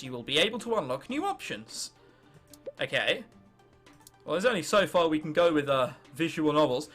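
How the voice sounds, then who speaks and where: Animated, high-pitched young English man. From England. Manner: sarcastic, self-mocking bravado, casual swearing. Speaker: gaming video host. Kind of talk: casual playthrough commentary, reading game text aloud.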